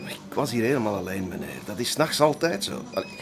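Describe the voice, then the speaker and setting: A man's voice: on the low side, lively intonation, fast talker, a crowd in the background. Curt male security guard. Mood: indignant. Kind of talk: Flemish broadcast crime drama acting.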